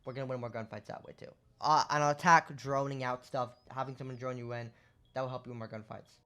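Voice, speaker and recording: A young man with a voice going hoarse, casually explaining shooter game tactics over gameplay and often checking in with "right?". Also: mid-range voice, steady tone, quick pace, pushed and bright. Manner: explanatory, rhetorical questions, lots of "right?". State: losing his voice. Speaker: gaming content creator. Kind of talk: casual gameplay tips commentary.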